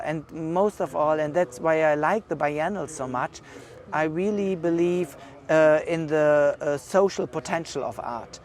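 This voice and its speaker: A man's voice: fairly high pitch, a little hiss or hum. A man with a German accent, a curator answering interview questions outdoors in a thoughtful, hesitant way with many fillers. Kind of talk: casual interview. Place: outdoors at an art exhibition.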